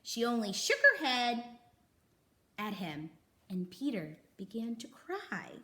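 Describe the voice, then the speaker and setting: A woman reading a children's storybook aloud, expressively, with dramatic emphasis and pauses. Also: on the high side, very animated, sharp tone. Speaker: storybook reader.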